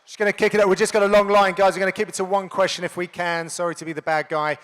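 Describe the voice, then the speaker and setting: A man moderating a fan Q&A, briskly directing the line of questioners. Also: sits high, level tone, a bit bright, clean tone. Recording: distant in echoey hall.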